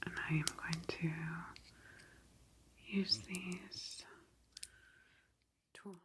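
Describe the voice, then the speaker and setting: A woman in a soft ASMR roleplay, speaking in a breathy whisper as a gentle, reassuring clinical office worker. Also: lowish voice, fairly even intonation, extremely slow, very noisy.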